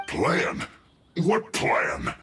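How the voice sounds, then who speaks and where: A man's voice: medium pitch, wildly swooping pitch, drawn-out words, pushed and bright, heavily acted. A man playing a hapless enemy soldier in an anime game, protesting in an indignant, aggrieved way. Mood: bewildered. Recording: roomy echo under faint music.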